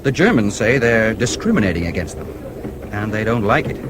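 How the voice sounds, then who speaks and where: Genial American newspaperman, low animated voice, confident, breezy, radio play, noisy background. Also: brisk. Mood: explanatory. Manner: genial, breezy, self-assured. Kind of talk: radio drama acting.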